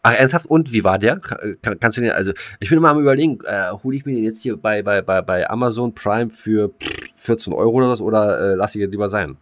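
A man's voice: on the low side, fast, few pauses, no room sound. A man co-hosting a podcast in casual chat, rambling with many fillers and restarts. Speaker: podcast co-host.

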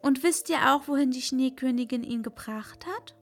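A woman's voice: sits high, moderate pace, soft background music. A woman voicing Gerda, a timid, sad little girl in a read-aloud fairy tale. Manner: timid, sighing.

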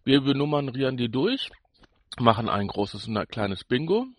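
A man's voice: mid-range voice, animated, moderate pace, no echo. Man bantering casually as podcast co-host, dry sound.

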